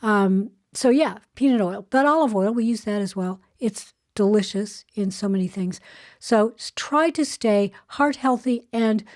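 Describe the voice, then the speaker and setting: An older woman with a soft voice hosting a casual spoken segment, chatting with frequent fillers and restarts. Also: mid-range voice, a little slow. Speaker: show host. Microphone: earbuds headset.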